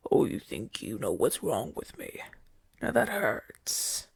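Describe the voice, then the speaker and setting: A man playing a proud, wounded gladiator champion in an ASMR audio roleplay, speaking in a weary, halting, breathy way with gruff bluster. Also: mid-range voice, huge pitch swings, no room sound, heavily acted. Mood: wry, uneasy. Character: wounded male gladiator champion, brash, proud, trusting his doctor.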